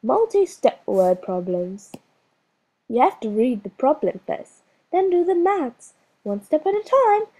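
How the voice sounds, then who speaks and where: A woman's voice: high-pitched, very animated, a little slow, warm tone, exaggerated voice, low-quality recording. A woman reading a storybook, voicing a female schoolteacher who gives firm, clipped instructions as her patience wears thin. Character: strained, patient-but-fraying female schoolteacher. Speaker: teacher.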